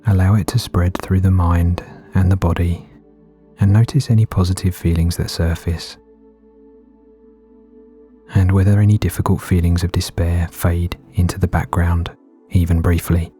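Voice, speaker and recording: A man gently guiding a meditation in a soothing, unhurried way with long pauses between phrases. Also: deep voice, steady tone. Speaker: meditation guide. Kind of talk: guided meditation narration. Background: background music.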